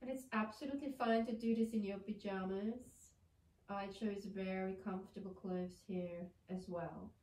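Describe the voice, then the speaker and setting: A woman in her thirties with a blocked nose from a cold, guiding a yoga session in a gentle, soothing way from across a bare living room. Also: medium pitch, fairly even intonation, moderate pace, slight background noise. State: congested with a cold. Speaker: yoga teacher. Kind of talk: guided yoga instruction. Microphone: far camera. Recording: echoey room, mic far off.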